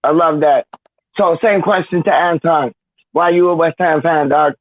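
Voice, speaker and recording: A man chatting on a live stream in an animated, slangy way, acting out little dialogues as he tells stories. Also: on the high side, normal speed, phone-quality sound. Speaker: guest of honour, birthday person. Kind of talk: casual live-stream chat.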